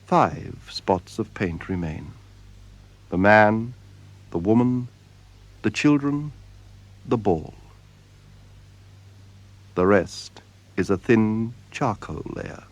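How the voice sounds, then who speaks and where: A man narrating a radio drama in a solemn, measured way, with deliberate dramatic pauses. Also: on the low side, lively intonation. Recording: radio drama with music behind. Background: music.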